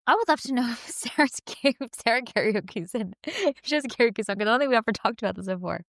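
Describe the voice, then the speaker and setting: A woman co-hosting a podcast in casual chat, animated and laughing often. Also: on the high side, fast talker, no echo, studio quality. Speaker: podcast co-host.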